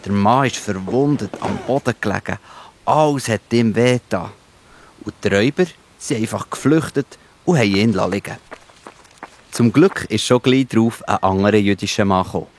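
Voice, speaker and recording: A man's voice: lowish voice, moderate pace. A man with a Swiss German accent telling a scripted children's Bible story.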